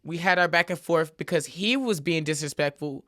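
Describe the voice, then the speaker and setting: A woman's voice: low-pitched, moderate pace, a bit bright. A woman hosting her own podcast, talking casually and emphatically on her own with a lot of repeated filler tags. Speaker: podcast host. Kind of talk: casual solo podcast monologue.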